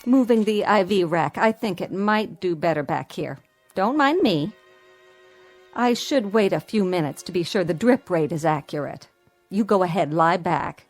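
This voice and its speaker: An actress playing a brisk, dutiful hospital nurse in a radio drama, polite and professional. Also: female voice, lowish voice, lively intonation, soft background music. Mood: coaxing, professional. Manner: polite, professional, matter-of-fact.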